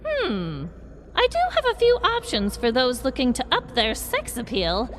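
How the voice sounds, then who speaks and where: A woman voicing a sly, ominous old witch shopkeeper in a scripted Halloween podcast skit, theatrical and drawn-out. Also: high voice, wildly swooping pitch, music in the background, pitched above the performer's own voice, heavily acted. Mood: sly, coaxing.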